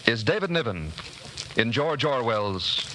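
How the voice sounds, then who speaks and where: A man's voice: medium pitch, very animated, a little slow, bright, forceful tone, slight background noise. A man announcing a radio drama in formal, declamatory 1940s broadcast style. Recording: vintage 1949 radio broadcast.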